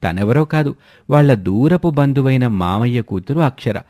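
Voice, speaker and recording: A man narrating a children's moral story in a steady, plain way. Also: medium pitch, moderate pace.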